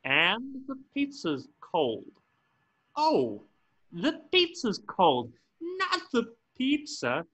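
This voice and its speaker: A man's voice: sits high, wildly swooping pitch, very slow, a bit bright, husky, lo-fi sound, full character voice. A man playing a grumpy, exasperated cartoon squid cashier in a table reading, irritable and sarcastic. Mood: sour, exasperated.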